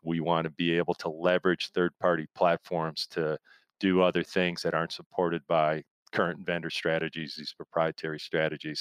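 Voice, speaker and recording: A man's voice: low voice, clear, resonant tone, dry recording. A man speaking as an expert guest in an interview, explaining things conversationally in a rambling way with analogies. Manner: rambling, explains through analogies and imagined quotes.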